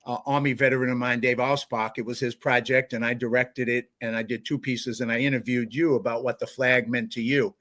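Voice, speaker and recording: Bright-voiced New York host. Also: male voice, medium pitch, level tone. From New York, USA. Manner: friendly, chatty, full of fillers and quick laughs. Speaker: interviewer, host. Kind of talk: casual interview chat.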